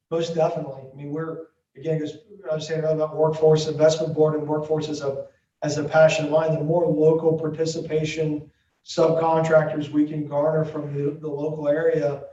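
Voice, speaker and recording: A man from Ohio representing a construction firm, calmly answering questions at a school board meeting. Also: fairly high pitch, brisk, slight background noise. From Ohio, USA. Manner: steady, businesslike explaining. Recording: muffled distant audio in an echoey room.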